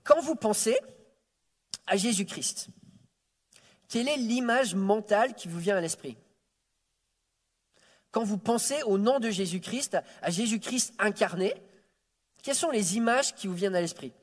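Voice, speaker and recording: A man's voice: sits high, expressive, a little slow, long pauses. A man, preaching a Sunday sermon as a guest, joking about himself and often repeating words as he restarts. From France. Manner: warm self-deprecating humor, frequent stammered repeats. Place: church sanctuary. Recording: reverberant church hall.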